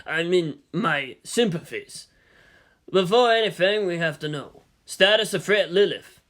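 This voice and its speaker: A narrator playing a weary male army general, formal and measured, who reasons and pleads firmly. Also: medium pitch, animated, fairly bright tone. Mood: awkward, official. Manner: measured, official, placating.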